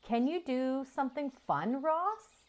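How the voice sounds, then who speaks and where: A woman's voice: sits high, animated, slow pace, pitched above the performer's own voice. A woman voicing a bored, curious young farm girl in a storybook, playful and demanding.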